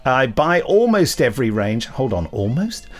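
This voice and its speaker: A man's voice: medium pitch, very animated, moderate pace. A British man co-hosting a podcast, chatting casually and reading emails aloud. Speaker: podcast co-host.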